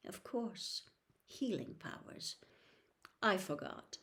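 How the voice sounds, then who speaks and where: A woman voicing a sinister teenage male dark wizard in a storybook, with a gloating, menacing, theatrical delivery. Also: medium pitch, expressive, slow. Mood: quiet menace. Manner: menacing, theatrical, dropping to hushed quiet.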